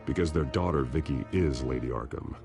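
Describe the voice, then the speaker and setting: Casting: grim masked game hero, extremely low growling voice, measured delivery, music behind. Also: male voice. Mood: grim, serious. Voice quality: deep gravelly growl. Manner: grave, measured, terse. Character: brooding masked vigilante hero, grim, protective, adult man. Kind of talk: dramatic video game acting. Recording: loud music under the voice.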